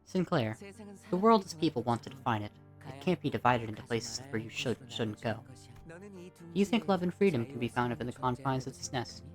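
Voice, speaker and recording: Narrator acting calm, solemn philosophical schoolboy. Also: medium pitch, a little fast, soft background music, natural voice. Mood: earnest, musing. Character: Damien, calm, mature, philosophical solitary schoolboy.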